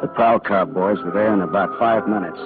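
A man's voice: lowish voice, brisk, lightly acted. An actor playing a tough, weary American private detective narrating his case in a wry, hard-boiled 1940s radio style. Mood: terse, matter-of-fact. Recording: muffled old-time radio broadcast, loud music.

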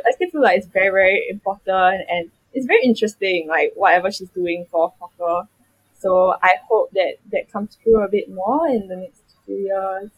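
A woman's voice: mid-range voice, slightly rough, dry recording, rough recording. A young Singaporean woman speaking as a guest in a casual panel chat, rambling with many fillers. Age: young adult.

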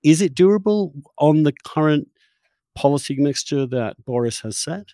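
A man's voice: a little high, animated, moderate pace, dark tone, no room sound, studio quality. A man conducting a conversational political interview, asking long, discursive questions that he rephrases as he goes. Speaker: interviewer, podcast host.

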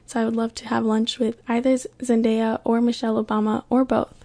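A young woman hosts her own podcast in a loose, chatty way, full of little fillers. Her voice sits a bit high but stays flat and even, with a mellow, soft tone and a lot of gravelly fry. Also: brisk. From born in Kenya, raised in California. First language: Swahili. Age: young adult. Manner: chatty, relaxed, filler-heavy. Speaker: podcast host. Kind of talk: casual solo podcast chat.

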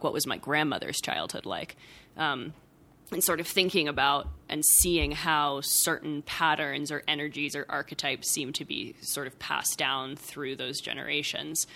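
A young woman, a podcast guest and writer, talking things through in a thoughtful, rambling way, with many fillers and soft laughs. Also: sits low, gravelly. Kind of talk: casual reflective conversation.

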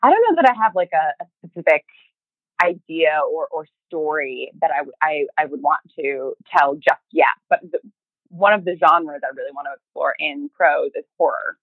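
Chatty woman with a lowish voice. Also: expressive, no room sound, phone-quality sound. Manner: chatty, halting, acts out remembered thoughts and emails in quoted speech. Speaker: podcast guest, fiction podcast creator and writer. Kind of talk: casual interview conversation.

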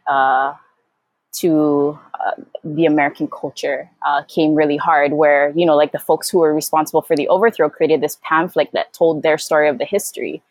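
A woman from Hawaii speaking reflectively as an interview guest, thoughtful and halting as she searches for words. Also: deep voice, steady tone, no echo. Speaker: guest, Hawaiian educator and activist.

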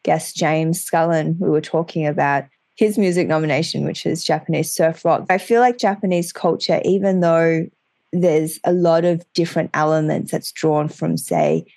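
A woman's voice: low-pitched, fairly even intonation, normal speed, vocal fry, a little mellow, dry recording. A woman hosting a podcast, asking conversational questions and adding her own reflections. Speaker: podcast host. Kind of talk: podcast interview. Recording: dry close sound.

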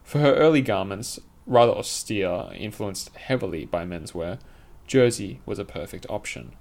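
A man's voice: lowish voice, expressive, normal speed, creaky, a little mellow. Man reading a formal opinionated essay. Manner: opinionated, formal, literary phrasing. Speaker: essayist reading own article. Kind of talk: scripted essay narration.

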